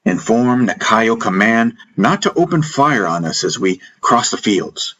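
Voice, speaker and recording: A man's voice: on the low side, sing-song, bright, forceful tone, slight background noise, heavily acted, poor audio. A man voicing an insistent, commanding stranded army major bargaining urgently in an audiobook. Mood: insistent, persuasive. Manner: insistent, emphatic, halting when pleading. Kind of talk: dramatic audiobook dialogue. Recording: music behind the voice.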